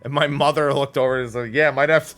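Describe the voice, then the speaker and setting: A man chatting casually as a livestream host, telling anecdotes in an animated, profane way and acting out the dialogue. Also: medium pitch, fast, soft background music.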